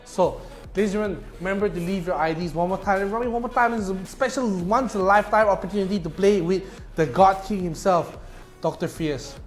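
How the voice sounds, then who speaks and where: A man's voice: high-pitched, steady tone, fast talker, faint music behind. A young man casting live esports, chatty and slangy, pushing viewers to join in. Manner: chatty, slangy, promotional asides. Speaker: esports caster.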